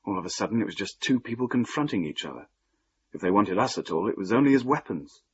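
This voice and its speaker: An actor playing a troubled young son-in-law in a radio drama, speaking earnestly and a little hesitantly. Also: male voice, fairly low pitch, lively intonation, fast talker, lightly acted. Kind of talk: British radio drama acting. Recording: dull, noisy radio play recording.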